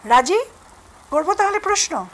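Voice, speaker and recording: An actress playing a genial Bengali mother-in-law in an audio drama, polite and gently teasing. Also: very high-pitched, big pitch swings, moderate pace. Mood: playful.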